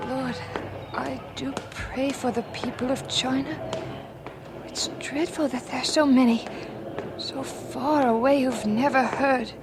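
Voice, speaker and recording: A woman's voice: high-pitched, steady tone, slow pace. A woman playing an earnest, devout British spinster housemaid, dreamy and apologetic, in an audio drama. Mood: fervent prayer. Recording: noisy ambience behind the voice.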